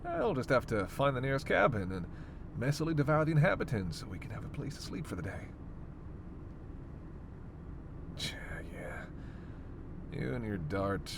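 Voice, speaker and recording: A man's voice: mid-range voice, very animated, moderate pace, gravelly, noisy background. A man playing a sarcastic, teasing immortal vampire passenger in a roleplay audio drama, needling and foul-mouthed with theatrical exasperation. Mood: playful menace.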